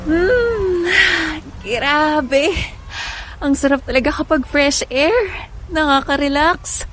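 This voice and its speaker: Easygoing courted young woman, radio drama, coy, higher voice, light music bed. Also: slow pace. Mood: relaxed.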